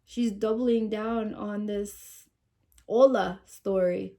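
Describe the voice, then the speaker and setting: Woman, stuffy nose, sniffly, slow unhurried talk, mellow tone, chatty true-crime commentary. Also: mid-range voice, steady tone. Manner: chatty, asks viewers questions. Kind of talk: casual commentary reading news aloud.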